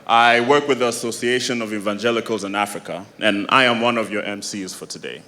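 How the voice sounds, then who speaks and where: A man's voice: on the low side, a little fast, sharp tone. A man from Sierra Leone emceeing a church conference, upbeat and addressing the audience directly.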